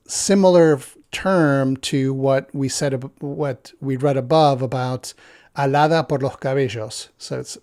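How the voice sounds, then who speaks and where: A man from Argentina with an Argentine accent reads a text aloud and comments on it, thinking out loud with many pauses and fillers. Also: fairly high pitch, dry recording, studio quality. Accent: Argentine Spanish. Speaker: channel host.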